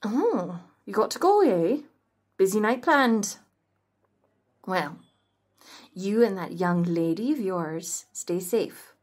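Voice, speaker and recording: A man's voice: sits high, lively intonation, slow pace, long pauses, full character voice. A man voicing a kindly, shrewd older café owner with a British accent who calls everyone love and dear. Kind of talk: audio roleplay acting.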